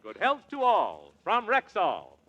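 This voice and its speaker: A man's voice: high voice, wildly swooping pitch, slow pace, highs cut off, over loud music. An American man announcing on a 1940s radio show in a scripted, old-time broadcast style.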